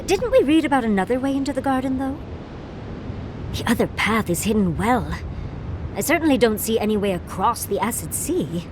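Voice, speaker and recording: Video game companion: inquisitive adventurer, fairly high, lively pitch, noisy ambience. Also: female voice, normal speed. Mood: puzzled. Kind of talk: video game character acting. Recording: clear ambient noise under voice.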